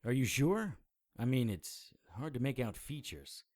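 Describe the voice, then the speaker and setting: An actor playing a gruff, laconic hardboiled private eye in a noir audio drama, terse and world-weary. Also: male voice, medium pitch, sing-song, measured pace, a little mellow, dry recording, clean studio sound. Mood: guarded.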